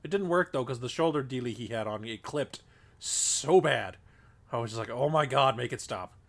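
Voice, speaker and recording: A man's voice: mid-range voice, expressive, normal speed, a bit bright. A congested man casually thinking aloud while streaming a game, rambling with lots of fillers. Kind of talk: casual gameplay commentary. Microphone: desk microphone.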